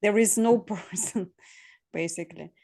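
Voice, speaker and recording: A woman's voice: medium pitch, normal speed, creaky, dark tone. A woman hosting a casual discussion, speaking thoughtfully and hesitantly in short, broken phrases. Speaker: host.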